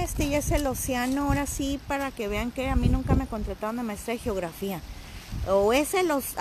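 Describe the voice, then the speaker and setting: A woman's voice: fairly high pitch, noisy background. A woman in her forties with a Mexican accent, chatting playfully to viewers on a live phone stream from a seaside walk. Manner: playful, jokey, talking to viewers. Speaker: vlogger. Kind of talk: live-streamed casual chat. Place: windy seaside outdoors. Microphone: phone at arm's length.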